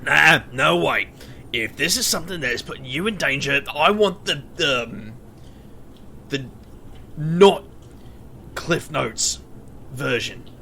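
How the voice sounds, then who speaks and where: A man's voice: a little high, expressive, takes it slowly, creaky, pauses often, sharp tone, slight background noise, full character voice. A man playing an anxious, caring, easily exasperated young man in an audio drama. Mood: indignant.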